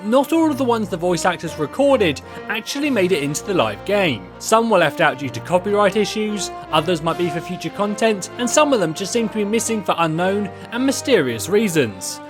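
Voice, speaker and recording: Man, high voice, animated intonation, scripted video narration, music faintly behind. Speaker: host and narrator.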